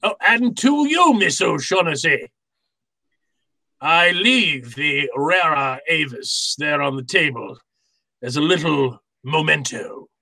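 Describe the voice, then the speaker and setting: An actor playing a genteel, wily fat crime boss in old-time radio drama style, with florid, courtly manners. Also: male voice, a little high, lively intonation, gravelly, sharp tone, no echo, heavily acted, poor audio. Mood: mock courtesy. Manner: florid, courtly, unhurried, chuckling politeness. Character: fat, genteel, wily middle-aged criminal mastermind.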